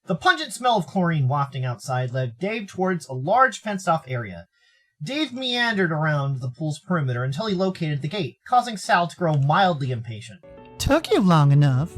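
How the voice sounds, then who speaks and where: Animated American man narrating a game. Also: on the high side, normal speed, faint music behind. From Arizona, United States. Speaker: streamer reading a visual novel aloud. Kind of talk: animated story narration with casual asides.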